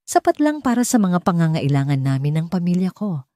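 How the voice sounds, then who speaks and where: A modest, sincere woman librarian, voiced by a female narrator with a Filipino accent in a romance audiobook. She sounds hesitant and mellow, in a dry recording. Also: fairly low pitch, very animated, a little fast, no echo. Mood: shy, hesitant. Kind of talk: audiobook character dialogue.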